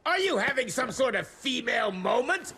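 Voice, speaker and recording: Game villain-bureaucrat: American, bullying, high voice with wild swings, sarcastic swearing. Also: male voice, sing-song, normal speed, bright, forceful tone, slightly rough, heavily acted. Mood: stern commanding. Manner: sarcastic, swearing, ranting bluster. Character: pompous, foul-mouthed bullying government secretary, lecherous mustached man. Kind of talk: theatrical video game acting.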